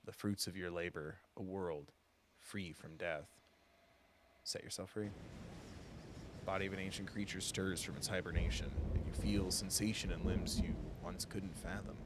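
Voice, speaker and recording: A man reading a game's narration aloud as a solemn storyteller, measured and hushed. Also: deep voice, steady tone, noisy background, close to an everyday voice.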